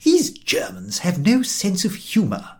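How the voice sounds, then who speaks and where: A man's voice: sits high, wildly swooping pitch, a little slow, a little mellow, pitched above the performer's own voice, heavily acted. A man voicing a baffled, indignant Englishman airing a comic grievance in an audiobook, in an exclamatory, aggrieved way. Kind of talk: audiobook character dialogue.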